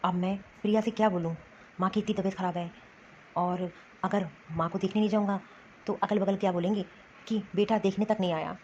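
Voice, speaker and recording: A woman's voice: medium pitch, steady tone, brisk, mellow, natural voice. A woman voicing an earnest, reproachful young husband torn between his wife and his family in a cartoon drama. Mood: troubled. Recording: dull lo-fi sound, faint noise.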